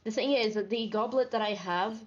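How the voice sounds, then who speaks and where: A woman talks casually about a game over voice chat. Her delivery is halting as she works out her thoughts. The sound is slightly muffled and lo-fi, with music faintly underneath. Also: medium pitch.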